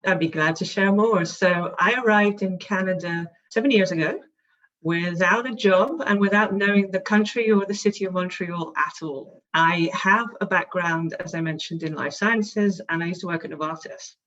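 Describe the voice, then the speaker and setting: A woman's voice: on the low side, normal speed, fairly bright tone, highs cut off. A woman from England with a London accent, a startup CEO speaking in a composed, articulate way in a podcast interview. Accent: London English.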